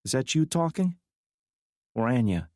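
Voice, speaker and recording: A tough, sharp-tongued woman agent who presses hard with pointed questions, put on by a male narrator. The voice sits far higher than his own and rises further when she pushes. The sound is dry and close. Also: big pitch swings, measured pace, no echo. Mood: confrontational. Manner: pointed, challenging, exasperated.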